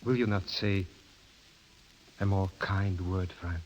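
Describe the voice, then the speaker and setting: Low-voiced courtly suitor, hissy recording. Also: male voice, fairly even intonation, slow pace. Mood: ardent, tender. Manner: formal, pleading, romantic. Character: ardent, courtly European suitor in love. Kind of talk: 1930s radio acting. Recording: hissy, dull vintage radio recording.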